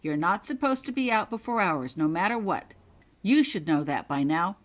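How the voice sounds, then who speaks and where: A woman's voice: lowish voice, lively intonation, moderate pace, natural voice. A woman voicing an angry, arrogant young male school prefect in a storybook reading, barking stern, suspicious commands. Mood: stern, demanding.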